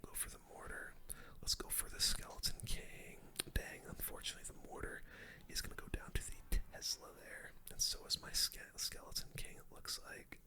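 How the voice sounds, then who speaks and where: Casting: ASMR gaming streamer, fully whispered, breathy and bright, close-miked calm play-by-play. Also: moderate pace. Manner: calm running play-by-play, muttering. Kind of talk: whispered ASMR game commentary. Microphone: close microphone.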